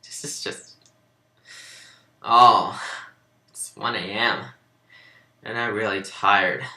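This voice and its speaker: Slow-paced man, bright voice in an echoey room. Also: fairly low pitch, fairly even intonation. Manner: sarcastic, sweary, often breaking into laughter. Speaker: amateur reader of fan fiction. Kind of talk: dramatic reading with mocking asides. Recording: roomy, echoey home recording.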